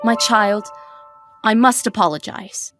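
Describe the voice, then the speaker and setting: A woman playing an apologetic, loving mother in a dramatic comic dub, speaking in formal, measured phrases, gentle but firm. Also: mid-range voice. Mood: solemn, remorseful. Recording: dub audio with music behind. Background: music.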